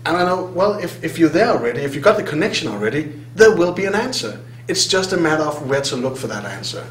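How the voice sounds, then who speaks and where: A middle-aged man from Denmark with a Danish accent, speaking on a hypnotherapy conference panel in an animated, self-deprecating way, laughing often. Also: fairly high pitch, quick pace. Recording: roomy hall sound, faint hum.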